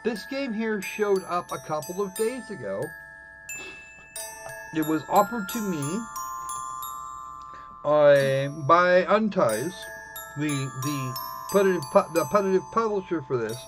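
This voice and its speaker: A man hosting a live game stream with casual commentary, speaking haltingly and often restarting his phrases. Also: high voice, animated, music in the background. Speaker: live stream host playing games.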